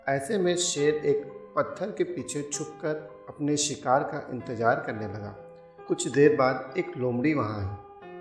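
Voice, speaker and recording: A man narrating a scripted children's cartoon story in a steady, clear storytelling manner. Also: mid-range voice. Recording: echoey with music under it.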